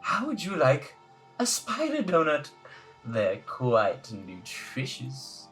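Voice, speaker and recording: Casting: coy spider-woman merchant, played by a man, raised pitch, drawn-out and passive-aggressive, game character over music. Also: huge pitch swings, a bit bright, far from the mic, full character voice. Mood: wheedling, sly. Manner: drawn-out, coy saleswoman politeness. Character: syrupy, pushy female spider-woman shopkeeper, cackling and passive-aggressive. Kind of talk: hammy visual novel read-aloud.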